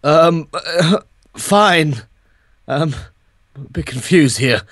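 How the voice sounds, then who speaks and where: A man's voice: fairly high pitch, slow pace, fairly bright tone, dry recording, clean studio sound. A young man co-hosting an improvised Minecraft roleplay, teasing and mock-flustered. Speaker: roleplay co-host.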